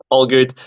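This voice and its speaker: A man from northern Scotland with a light Scottish accent, hosting a casual livestream chat and talking haltingly with many fillers and restarts. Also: medium pitch, a little mellow. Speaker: host. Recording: dull, muffled livestream audio.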